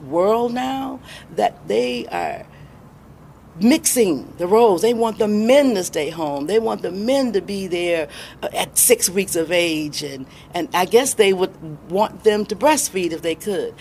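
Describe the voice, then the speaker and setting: A middle-aged American woman answering interview questions as a guest, reflective and deliberate, pausing for emphasis. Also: mid-range voice, vocal fry, darkish tone, a little hiss or hum. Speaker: interview guest, independent filmmaker.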